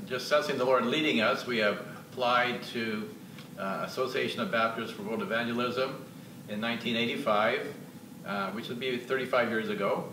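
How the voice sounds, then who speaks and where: A man in his sixties from Alberta, a missionary guest telling his story in a halting way full of filler sounds. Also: mid-range voice. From Canada, Alberta. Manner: hesitant, many filler uhs. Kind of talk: casual interview testimony. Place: church lounge with sofas, indoors. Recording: roomy echo, distant mic, clear hiss.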